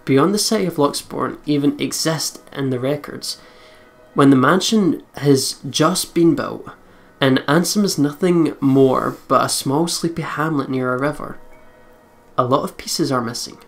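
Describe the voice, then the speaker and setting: A let's play commentator with a medium-pitched voice reads a visual novel aloud over game music. The narration is expressive and story-like, broken up by casual, sweary and joking remarks. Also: a little slow. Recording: music behind the voice.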